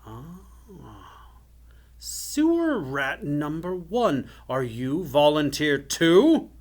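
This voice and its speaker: A man's voice: a little high, big pitch swings, extremely slow, voice pushed up, full character voice. A man voicing a sinister, menacing fire wizard tyrant in a theatrical storybook villain style, drawing out words.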